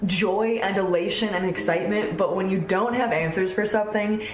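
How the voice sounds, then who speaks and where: A woman's voice: mid-range voice, normal speed, echoey room, a little hiss or hum, low-quality recording. A woman in her thirties, a sometimes congested vlog host, talking candidly and enthusiastically in a casual chat. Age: 30s. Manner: chatty, candid, warmly enthusiastic. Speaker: vlogger host.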